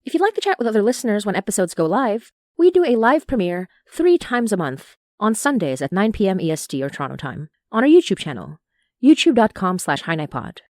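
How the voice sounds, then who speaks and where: A woman's voice: mid-range voice, big pitch swings, a little fast, a little mellow, no echo, studio quality. A woman hosting a podcast, reading scripted credits and announcements straight to the listeners. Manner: addresses listeners directly, reading out lists. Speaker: podcast creator and host.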